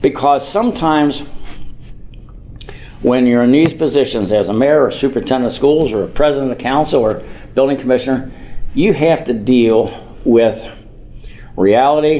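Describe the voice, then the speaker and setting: A man's voice: medium pitch, moderate pace, pauses often, dark tone, a little hiss or hum. An older man from the United States giving an informal public speech as a mayor, rambling and earnest, repeating phrases for emphasis. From Norwood, United States.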